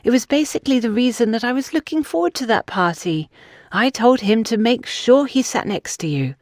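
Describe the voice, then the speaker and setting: A woman's voice: fairly high pitch, lively intonation, fairly quick, dry recording, studio quality, voice pushed up, close to an everyday voice. Emphatic, gossipy society mother acted by a woman. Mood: probing. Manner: emphatic, chatty, dramatic. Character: older society mother, gossipy, worried, insistent matchmaker.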